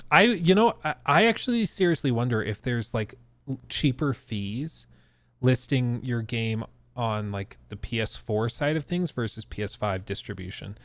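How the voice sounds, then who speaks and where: Male podcast host, chatty and informal livestream commentary, slangy, slightly low voice, dry close sound. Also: lively intonation, normal speed, a bit bright, no room sound. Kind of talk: casual livestream gaming news commentary.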